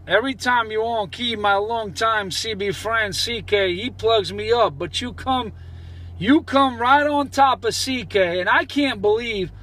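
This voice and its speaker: A man's voice: high-pitched, pushed and bright. A man bantering on CB radio, boastful and repeating his phrases like a chant. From New Jersey, United States. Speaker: CB radio operator.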